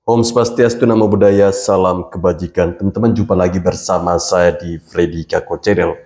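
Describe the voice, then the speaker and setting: A man hosting his online channel, explaining a case in a measured way. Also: low-pitched, mellow, echoey room. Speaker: channel host. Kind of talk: online commentary monologue.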